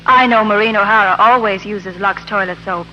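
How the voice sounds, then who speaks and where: A woman's voice: mid-range voice, fairly quick, muffled recording. A woman giving a scripted, rehearsed 1940s radio testimonial as a guest, in a prim manner. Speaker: film studio actress, commercial guest. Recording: old radio broadcast with faint hiss.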